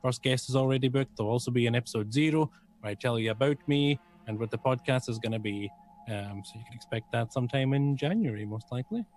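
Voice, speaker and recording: A man's voice: medium pitch, fast, darkish tone, slight background noise. Upbeat man reeling off plugs. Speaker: player and streamer. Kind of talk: casual stream shout-out.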